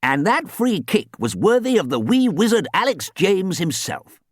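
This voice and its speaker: A man's voice: sits high, big pitch swings, moderate pace, no echo, studio quality, pitched above the performer's own voice. A man voicing a fussy, proud old ghost who coaches a children's football team, speaking in a formal, old-fashioned way. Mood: pleased.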